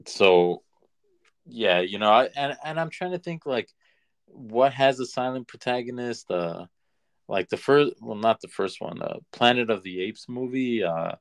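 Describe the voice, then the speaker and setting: A man co-hosting a podcast, giving firm opinions in casual, halting talk as he thinks aloud. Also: medium pitch, lively intonation. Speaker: podcast co-host.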